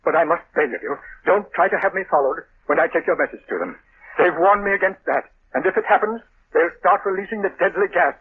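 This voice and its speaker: A man's voice: sits high, lively intonation, fast. An actor playing a guilt-ridden male scientist in a 1930s radio drama, speaking with urgent, pleading gravity. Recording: tinny old radio broadcast with hiss.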